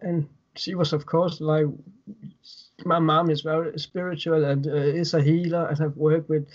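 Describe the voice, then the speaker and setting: A man's voice: a little high, little pitch movement, normal speed. A man in his thirties from Denmark with a Danish accent, speaking as a guest in a casual video-call interview and often repeating words as he recounts. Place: home room indoors. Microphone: webcam on video call. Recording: dull lo-fi video call audio.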